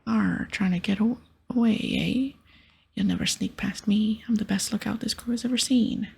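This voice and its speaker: Blustering pirate guard for a video game acted by a woman, very breathy whisper, piratical boasting. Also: medium pitch, moderate pace, a little mellow, full character voice. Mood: boastful. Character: blustering half-wooden male pirate lookout. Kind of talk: whispered ASMR game dialogue.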